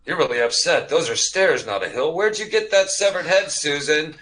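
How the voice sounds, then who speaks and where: A man's voice: a little high, lively intonation, normal speed, sharp tone. A man voicing a grumbling, sarcastic board-game player in an amateur live script read. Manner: sarcastic, complaining. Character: grumbling, exasperated male board-game player, flippant buddy.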